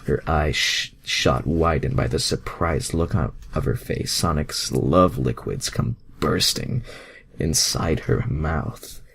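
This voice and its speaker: A man's voice: very deep voice, takes it slowly, vocal fry, fairly bright tone, husky. A man reading fan fiction aloud as an amateur narrator, with sweary, self-mocking, exasperated asides.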